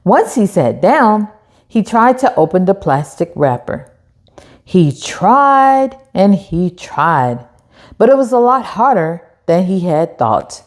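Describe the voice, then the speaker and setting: Woman reading slowly and expressively to children. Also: mid-range voice, big pitch swings. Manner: encouraging, addresses children directly, deliberate phrasing. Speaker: storytime host. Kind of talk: children's read-aloud narration.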